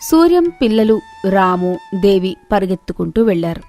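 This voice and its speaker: A woman's voice: mid-range voice, big pitch swings, normal speed, dark tone, faint music behind. A woman reading scripted narration for a moral story as its narrator.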